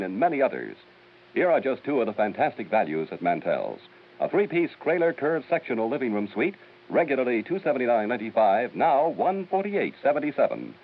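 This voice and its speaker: A man reading a local radio commercial in a brisk, salesy pitch. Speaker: radio ad announcer. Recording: hissy, tinny old radio recording.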